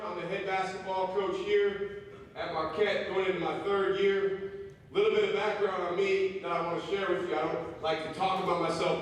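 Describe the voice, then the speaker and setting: A middle-aged man from Wisconsin, a college basketball coach giving a casual motivational talk to students and asking them questions. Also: high-pitched. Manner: casual, asks the crowd questions, loud voice. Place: large echoey hall. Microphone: speaking without a microphone, far from the recorder. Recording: echoey hall, distant voice, faint noise.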